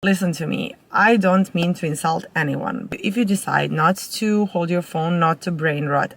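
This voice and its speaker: A woman's voice: low voice, fairly bright tone. A young woman, a native Serbian speaker with a Serbian accent, chatting casually to camera in an informal, rambling way as a vlogger. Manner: informal, rambling with self-corrections.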